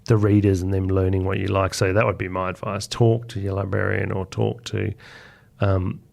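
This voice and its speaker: A man's voice: deep voice, flat delivery, normal speed, vocal fry, darkish tone. A man with an Australian accent chatting informally and enthusiastically as a guest author in an interview. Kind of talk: casual conversational interview.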